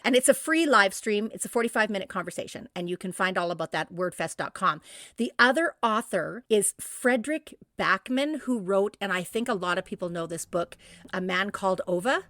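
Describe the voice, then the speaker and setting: Bright-voiced middle-aged woman co-hosting a podcast. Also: medium pitch, lively intonation, brisk, no room sound, studio quality. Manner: enthusiastic. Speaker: podcast co-host. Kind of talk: casual event listings and chat.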